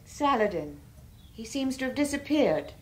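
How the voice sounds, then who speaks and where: A gentle, anxious wife from a radio play. Her voice is fairly high with a husky, breathy edge, and she speaks hesitantly and meekly. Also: big pitch swings, moderate pace, exaggerated voice. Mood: worried. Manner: hesitant, meek. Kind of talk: 1980s British radio drama acting.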